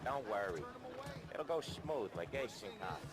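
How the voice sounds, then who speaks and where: Low-pitched, streetwise mobster played by a man. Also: expressive, moderate pace, close to an everyday voice. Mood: reassuring. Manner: casual, slangy, assured. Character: streetwise, confident male mob associate. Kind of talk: cinematic crime game acting. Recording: noisy outdoor ambience behind.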